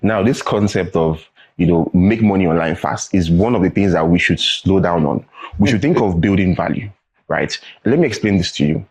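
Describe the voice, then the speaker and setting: A man's voice: low-pitched, expressive, normal speed, a little mellow, slightly rough. A young adult man with a Nigerian accent, a guest in a studio interview, explaining earnestly and often asking "right?" or "you know". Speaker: tech training founder, guest.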